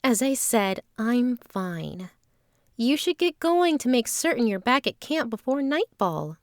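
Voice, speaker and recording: A woman playing a calm, self-assured traveling performer in an audio drama, with formal, composed phrasing. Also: fairly high pitch, unhurried, no room sound, clean studio sound. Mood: dry, assured.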